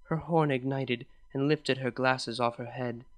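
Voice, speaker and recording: A narrator reading a first-person romantic story aloud in an expressive, emotive way. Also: mid-range voice, measured pace. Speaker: fan fiction narrator.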